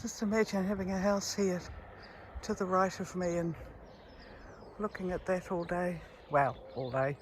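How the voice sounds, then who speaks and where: A woman's voice: lowish voice, fairly even intonation. A woman chatting casually and admiringly to a handheld camera as she walks, slightly out of breath. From New Zealand. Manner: admiring, unhurried, chatting while walking. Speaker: travel vlogger. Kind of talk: casual walking vlog chat. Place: outdoors on a hilly rural road. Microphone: handheld camera while walking. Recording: outdoor handheld recording with ambient noise.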